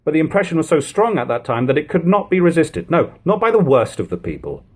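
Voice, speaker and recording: A man narrating an eighteenth-century account as a dramatic, expressive audiobook reading. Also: a little high, fast talker, slightly rough.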